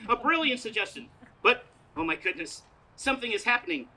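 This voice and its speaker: A woman's voice: fairly high pitch, very animated, a little fast, bright, forceful tone, poor audio. A woman playing an earnest, flustered radio news reporter in a comedic stage radio play, recorded outdoors live. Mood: dramatic, urgent. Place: outdoors, parking lot stage.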